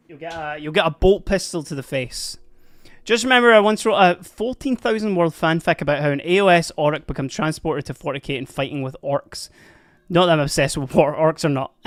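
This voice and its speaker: A live-streaming miniature painter chatting casually and giggling a lot while painting, a little hoarse from laughing. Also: medium pitch. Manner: chatty, giggly, rambling, addresses chat.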